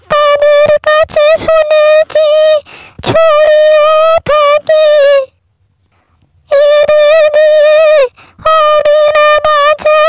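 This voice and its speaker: A frail but crafty old village granny from a cartoon fairy tale. The voice is extremely high and very slow, doting and wary, with loud music behind it. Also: female voice, exaggerated voice. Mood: doubtful, wary. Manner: slow, drawn-out, doting address. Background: loud background music.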